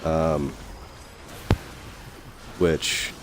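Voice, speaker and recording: A young man commentating his gameplay in a casual, rambling way full of fillers, his voice a little raspy from talking so long. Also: rumbling low, little pitch movement, drawn-out words, noisy background. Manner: rambling, many fillers and restarts.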